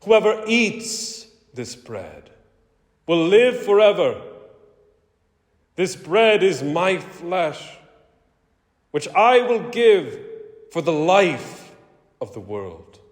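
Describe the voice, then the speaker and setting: Guy playing weighty authoritative teacher from scripture, really drawn out, pitch soaring and dropping, long echo. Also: very animated, exaggerated voice. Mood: solemn, fervent. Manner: declamatory, weighty, deliberate. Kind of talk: dramatic scripture reading. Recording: echoey hall with long reverb.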